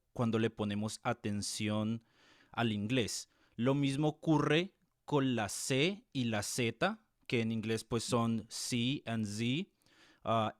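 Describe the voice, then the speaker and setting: Measured Colombian man, dry close sound. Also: medium pitch, no echo. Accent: Colombian Spanish. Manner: measured, explains with examples and tag questions. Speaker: tutor and music teacher being interviewed. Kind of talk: thoughtful explanatory interview answers.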